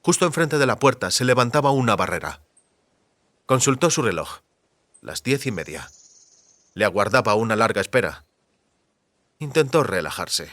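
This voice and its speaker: A man with a Castilian Spanish accent narrating a dramatized audiobook in a measured, deliberate storytelling manner. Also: medium pitch, sing-song, fairly bright tone, dry recording. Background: music under the voice.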